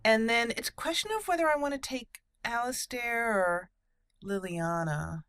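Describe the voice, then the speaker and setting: A woman's voice: fairly high pitch, normal speed, fairly bright tone, dry recording. A woman giving casual, chatty roleplay commentary on a video game, playfully thinking aloud. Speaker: gaming video host. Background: game music.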